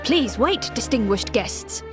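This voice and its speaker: Deferential palace official, widely varied intonation, formal, reverberant game audio. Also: female voice, a little high, animated, slow, over music. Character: courteous, formal royal court servants and officials. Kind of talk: video game voice acting.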